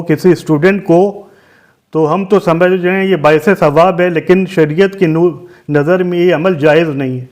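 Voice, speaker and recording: Male cleric, high-pitched, Lucknow Urdu accent; deliberate, emphatic religious guidance with repeated phrases and synonyms. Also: darkish tone. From Lucknow, Uttar Pradesh, India.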